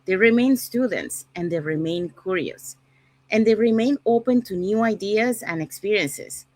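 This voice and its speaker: A woman from Panama hosting an online panel show in a relaxed way, with many fillers. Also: medium pitch. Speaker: host.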